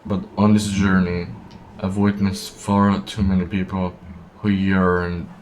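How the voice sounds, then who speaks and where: A grave, contemplative male traveler muses in a deep voice with little inflection. He speaks slowly and haltingly, like a video game protagonist thinking aloud. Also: level tone, a little mellow. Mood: pensive. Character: pensive male otherworldly traveler, grave and thoughtful. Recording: faint noise and ambience behind.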